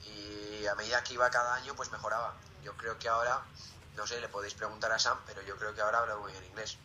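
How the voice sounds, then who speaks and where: Late-teen male, Spain Spanish accent, casual interviewee, very bright forward tone, slight background noise. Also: on the low side, flat delivery, quick pace. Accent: Peninsular Spanish. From Zaragoza, Spain. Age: late teens. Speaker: interviewee, student.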